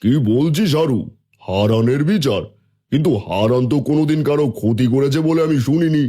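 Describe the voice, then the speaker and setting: Casting: man as calm village chief, mid-pitched, mellow, inquiring; cartoon folk tale. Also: fairly quick, lightly acted. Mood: concerned. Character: fair-minded village headman, calm, questioning elder authority. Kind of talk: Bengali fairy tale cartoon dialogue.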